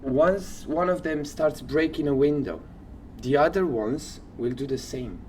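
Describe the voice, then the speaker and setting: A man co-hosting a podcast, casually sharing odd facts in halting, informal phrases. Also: fairly high pitch, expressive, darkish tone, slight background noise. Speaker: podcast co-host.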